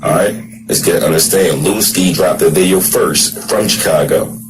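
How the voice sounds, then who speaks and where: A man's voice: low voice, moderate pace. A man giving casual commentary and repeating "all right" as he explains. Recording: clear music behind the voice.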